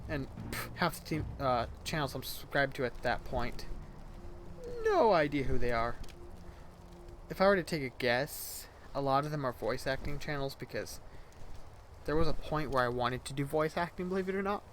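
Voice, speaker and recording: A man's voice: medium pitch, animated, normal speed, slight background noise. A man of twenty rambling casually to his viewers, correcting himself as he goes, with a voice he calls childish. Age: 20s. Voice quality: self-described childish-sounding voice. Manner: rambling, self-correcting, chatty. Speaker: YouTuber. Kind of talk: casual rambling vlog chat.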